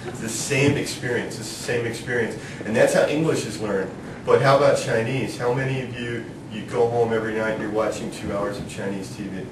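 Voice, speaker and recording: A man's voice: fairly quick, hardly any pauses. A man from New York leading a seminar in a classroom, talking informally, joking and asking the students questions. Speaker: seminar leader. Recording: roomy classroom from a distance with background rumble.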